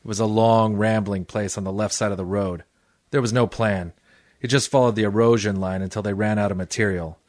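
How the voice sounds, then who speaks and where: A man playing a hardboiled, cynical private detective in 1940s noir radio style, terse, tough and deadpan. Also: fairly low pitch, brisk, no echo, studio quality, close to an everyday voice. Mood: deadpan narration.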